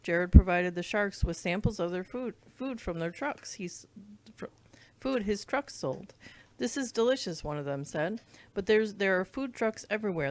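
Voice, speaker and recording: Low-pitched woman reading a storybook aloud. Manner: stumbles over words and rereads them. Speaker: read-along reader. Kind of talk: reading a children's book aloud.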